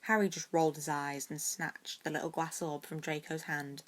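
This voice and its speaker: A woman's voice: sits low, little pitch movement, normal speed. A woman reading scripted fiction as a story narrator.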